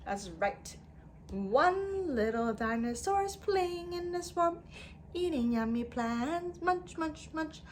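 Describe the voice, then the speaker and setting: A woman's voice: sits high, animated, slow, a little hiss or hum. A woman leading an interactive storytime lesson for young children, speaking in an exaggerated sing-song way with prompting questions and repetition.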